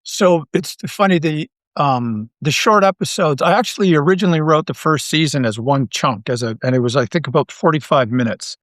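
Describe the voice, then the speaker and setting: A man from Canada chatting casually as an interview guest, an animated storyteller who rambles and repeats his phrases. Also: a little high, a little fast, few pauses, no echo. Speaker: interview guest, audio drama writer.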